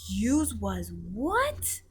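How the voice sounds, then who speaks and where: A woman voicing a gentle, dignified male storybook giant who speaks earnestly in muddled grammar. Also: medium pitch, huge pitch swings, extremely slow, husky, heavily acted. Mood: concerned, earnest. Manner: earnest, innocent, garbled grammar.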